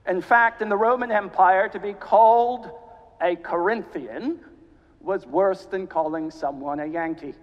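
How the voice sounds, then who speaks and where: A man from South Carolina with a mid-Atlantic accent, a cathedral canon giving a guest sermon with dry humor; he is jet lagged. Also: high voice. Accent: mid-Atlantic, American Southern with British. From South Carolina, USA. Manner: genial, dry humor, measured. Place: church. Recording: dull sound, long reverb of a church.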